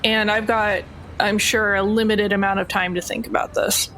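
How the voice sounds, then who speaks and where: A woman's voice: medium pitch, level tone, moderate pace, fairly bright tone, a little hiss or hum, poor audio. Casual, hesitant woman playing a tabletop game.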